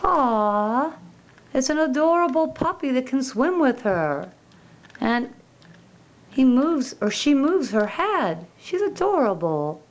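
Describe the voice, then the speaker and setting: A woman narrates a toy unboxing at an unhurried pace. Her pitch swoops up and down in a sing-song, gentle way. Faint noise and the crinkle of packaging sit behind her. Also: sing-song. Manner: slow, gentle, sing-song show-and-tell.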